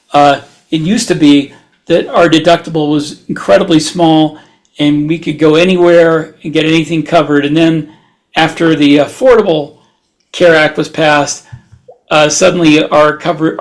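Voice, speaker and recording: A man co-hosting a podcast in casual conversation. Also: on the high side. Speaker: podcast co-host. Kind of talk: casual chat.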